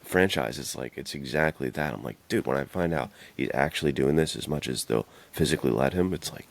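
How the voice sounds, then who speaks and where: A man's voice: very deep voice, a little fast, gravelly. A man co-hosting a podcast, chatting about adventure fiction in an enthusiastic, slangy, bro-ish way. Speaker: podcast co-host.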